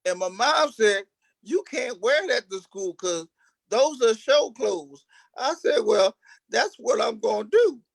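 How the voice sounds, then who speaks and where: A man's voice: very high-pitched, animated, slow, gravelly, no room sound, rough recording. A man who acts on stage, casually telling stories about himself as an interview guest. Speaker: stage actor being interviewed. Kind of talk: casual storytelling.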